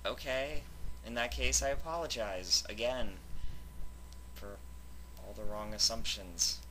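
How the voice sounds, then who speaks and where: Bright, forceful man bantering as channel host. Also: mid-range voice, measured pace, slight background noise, poor audio. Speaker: fanfic reading channel host. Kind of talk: casual banter introducing a reading.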